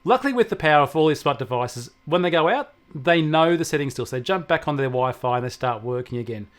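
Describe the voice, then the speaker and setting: Tech co-host, male, slightly high voice, explanatory and anecdotal in casual discussion. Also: expressive, fast. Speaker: technology expert co-host.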